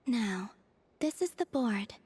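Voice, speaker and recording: A woman voicing a serene young moon goddess in a video game, soft-spoken, composed and unhurried. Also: fairly high pitch, expressive, a little hiss or hum. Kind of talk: animated video game voice acting. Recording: faint music behind the voice. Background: faint game music.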